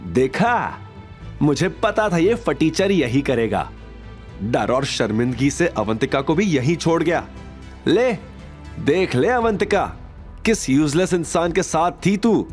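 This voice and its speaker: A man's voice: fairly high pitch, very animated, takes it slowly, soft background music, voice pushed up. A man voicing a haughty, contemptuous older mother-in-law who taunts and scorns, in an audio drama. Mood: gloating contempt.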